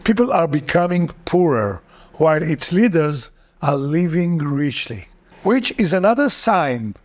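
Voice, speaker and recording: A man, a Hebrew speaker, earnestly delivering a scripted political video essay with rhetorical questions. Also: on the high side. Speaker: political commentator.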